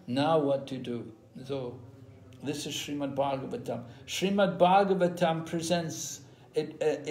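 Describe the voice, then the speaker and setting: An older man giving an unhurried online scripture class over a video call from a room at home, explaining with pauses and restarts. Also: on the high side, darkish tone. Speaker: spiritual teacher. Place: room at home with ceiling fan. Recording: echoey room.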